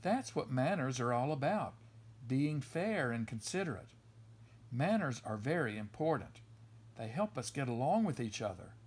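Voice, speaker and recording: A man voicing a firm, reasonable storybook mother bear who lectures her cubs in a measured, instructive way. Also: medium pitch, sing-song, darkish tone, husky. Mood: earnest lecturing.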